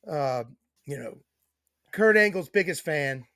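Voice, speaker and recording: A man's voice: fairly high pitch, lively intonation, slow pace, bright, forceful tone, no room sound, clean studio sound. A man hosting a wrestling podcast in laid-back, slangy casual chat. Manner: laid-back, loose, slangy, lots of fillers. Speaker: podcast host.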